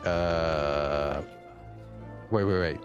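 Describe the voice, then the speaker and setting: A man livestreaming in a casual, halting, rambling way, swearing offhandedly as he chats. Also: very deep voice, dull audio, over music. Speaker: live streamer.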